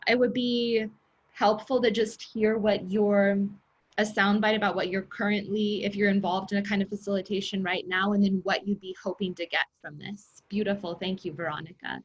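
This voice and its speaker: A woman's voice: mid-range voice, fairly even intonation, moderate pace. A woman hosting a group session on a video call, encouraging and affirming the participants. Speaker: session host, facilitator. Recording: muffled video-call audio.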